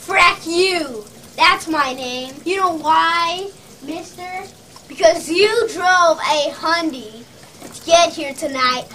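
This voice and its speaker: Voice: very high-pitched, sharp tone, exaggerated voice. A child playing a ruthless, bullying sales boss, barking taunts emphatically in a theatrical puppet-parody monologue. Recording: distant mic, faint background noise.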